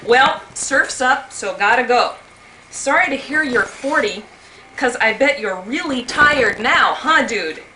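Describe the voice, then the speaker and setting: A woman's voice: a little high, animated, slow pace, sharp tone. A woman reading out joke birthday messages to guests at a home party. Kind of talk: comic reading aloud at a party. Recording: very noisy, echoey amateur home video.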